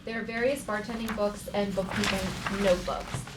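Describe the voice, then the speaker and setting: A woman's voice: mid-range voice, steady tone, normal speed. A woman reading stage directions as scripted narration at a live comedy table read. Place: live theater. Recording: echoey theater, clear background noise.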